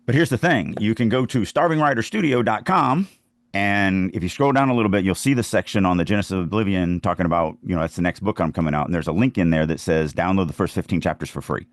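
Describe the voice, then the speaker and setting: Man, low-pitched voice, dry recording, casual podcast talk, informal, opinionated, writing teacher. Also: fast, no room sound. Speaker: podcast host, fantasy author and writing teacher. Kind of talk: casual conversational writing advice.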